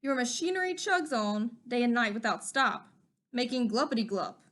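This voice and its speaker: A small, indignant forest creature scolds in a high, bright voice that rises and falls with feeling, as a woman reading a storybook aloud puts him on. He lectures and preaches, sure he is right. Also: expressive, moderate pace. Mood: scolding.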